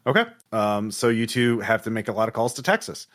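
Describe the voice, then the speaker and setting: A man running a tabletop horror game, narrating off the cuff and haltingly as he thinks aloud. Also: lowish voice, a bit bright. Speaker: game master.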